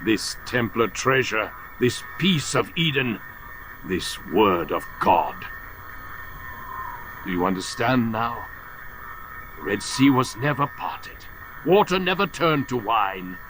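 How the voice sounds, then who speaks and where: An actor playing a calculating older master assassin in a video game, philosophical and condescending in a theatrical, oratorical way. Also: male voice, mid-range voice, very animated, slow pace, long pauses, background noise. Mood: impassioned. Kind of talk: dramatic video game cutscene acting.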